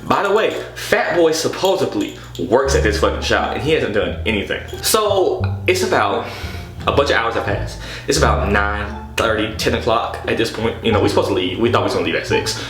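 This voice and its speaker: A man's voice: on the low side, animated, a little fast. A young man telling a personal story casually, in an exasperated, slangy, profane way. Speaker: storytelling vlogger. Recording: echoey room, loud background music. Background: background music.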